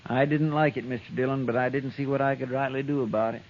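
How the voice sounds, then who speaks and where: An actor playing an earnest, slightly fretful young deputy in an old radio western. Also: male voice, mid-range voice, steady tone, fast, slight background noise, lightly acted. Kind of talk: 1950s radio drama acting. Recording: muffled old radio recording.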